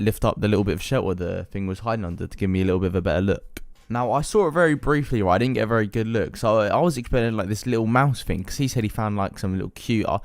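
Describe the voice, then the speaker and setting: A man's voice: on the low side, a little mellow, dry recording. A young British man telling comic anecdotes casually, in a slangy, sweary, matey way. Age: young adult. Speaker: YouTube storyteller.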